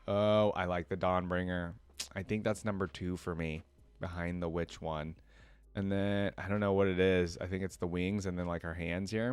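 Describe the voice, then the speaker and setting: A man's voice: lowish voice, no room sound. A man hosting a reaction video, commenting casually and informally, thinking aloud as he browses and reads.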